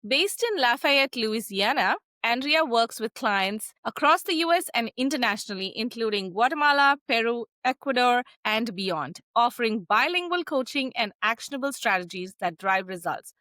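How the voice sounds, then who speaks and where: Bright, upbeat woman hosting. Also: fairly high pitch, lively intonation, normal speed, hardly any pauses, dry recording. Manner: upbeat, encouraging, affirming the guest. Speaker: podcast host. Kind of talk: interview hosting.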